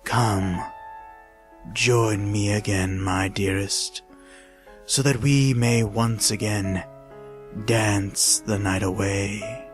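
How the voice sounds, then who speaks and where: A man playing a mysterious, sorrowful lover who pleads with drawn-out, deliberate longing in a horror story. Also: fairly low pitch, steady tone, faint music behind. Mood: longing, mournful. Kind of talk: horror story character acting.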